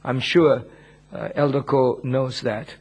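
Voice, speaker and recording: Husky preacher with an Indian accent. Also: male voice, medium pitch, fairly even intonation, takes it slowly, a little mellow, low-quality recording. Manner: humble, earnest, chuckles often. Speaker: pastor. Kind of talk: sermon, personal testimony.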